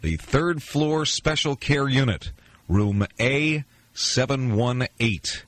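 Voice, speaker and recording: A man's voice: medium pitch, animated, takes it slowly, fairly bright tone. An American man hosting a 1980s AM radio show in announcer patter, introducing items as a weekend DJ. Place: radio studio. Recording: 1980s AM radio aircheck with music.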